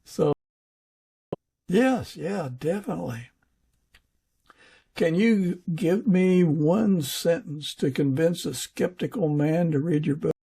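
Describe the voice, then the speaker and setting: Gravelly, husky man hosting a podcast. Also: a little high, slow, long pauses, warm tone. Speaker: podcast host. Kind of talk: casual interview chat.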